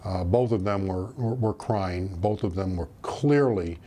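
Low-voiced older man, formal and measured. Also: mellow. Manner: formal, measured, official phrasing. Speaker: retired federal agent being interviewed. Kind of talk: interview recollection. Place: small private office, indoors.

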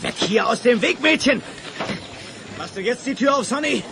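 An actor playing a determined, hot-headed crime-fighting hero in an audio drama, brash and casual. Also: male voice, very high voice, expressive, normal speed, creaky, noisy background, full character voice. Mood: urgent, forceful.

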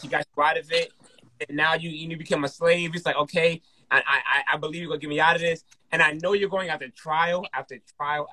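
Husky, bright male informal preacher. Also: fairly high pitch, poor audio. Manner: emphatic, repeats phrases. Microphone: close podcast microphone with headset.

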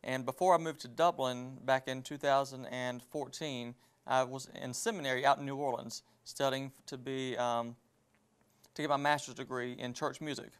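A man in his thirties from southeast Georgia, a church music minister, answering interview questions in a measured way with pauses. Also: mid-range voice, fairly even intonation, fairly bright tone, clear, resonant tone. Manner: measured, plain, pauses with ums. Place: living-room style studio set. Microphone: lapel mic.

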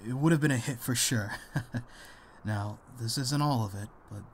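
A man playing a gentle, self-deprecating father in an ASMR roleplay, speaking softly and hesitantly to comfort his grown daughter. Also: medium pitch, normal speed, a little hiss or hum, close to an everyday voice. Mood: bashful. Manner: hesitant, soft, soothing, with small chuckles. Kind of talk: intimate ASMR audio roleplay.

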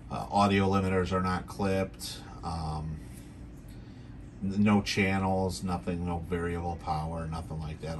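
A man's voice: deep voice, slight background noise. A man giving a casual, unscripted, matter-of-fact product demonstration as the seller, on a handheld home video.

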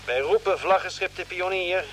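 An actor playing a formal mission control operator calling over space radio in clipped phrases, in old Dutch radio drama style. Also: male voice, sits high, moderate pace, fairly bright tone. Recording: hissy vintage radio play recording.

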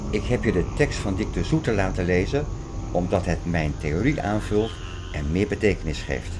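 A man playing a serious believer in dark prophecies, talking deliberately and instructively in an audio drama. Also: low voice, noisy background, natural voice. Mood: foreboding. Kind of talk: scripted audio drama dialogue.

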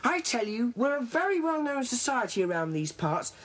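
Animated, decisive British schoolboy leader. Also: female voice, a little high, moderate pace. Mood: keen, decisive. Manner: earnest, decisive. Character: confident schoolboy leader of a secret society. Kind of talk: children's audio drama acting. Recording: hissy old cassette tape.

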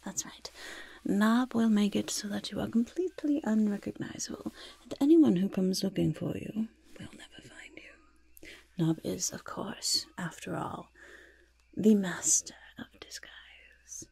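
A sly goblin schemer, played by a woman in a soft-spoken ASMR roleplay. The voice is very husky and breathy with a crackle of fry, held close to the mic. It fusses along deliberately, smug and conspiratorial. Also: mid-range voice, animated, no room sound, full character voice. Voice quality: breathy, hushed. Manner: slow, deliberate, refers to self in third person. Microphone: close microphone.

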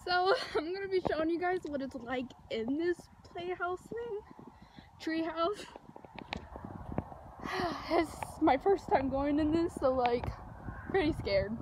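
A woman's voice: very high-pitched, expressive, takes it slowly, long pauses. Giggly young woman vlogging on her phone. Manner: giggly, rambling, many "like" fillers. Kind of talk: casual vlog talk to camera. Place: outdoors by a backyard treehouse. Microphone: phone at arm's length. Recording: noisy phone audio outdoors.

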